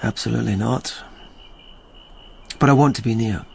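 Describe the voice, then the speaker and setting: A calm military investigator in a period radio drama, medium in pitch with a husky, creaky grain. He questions gently and keeps his concern restrained. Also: normal speed. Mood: subdued longing.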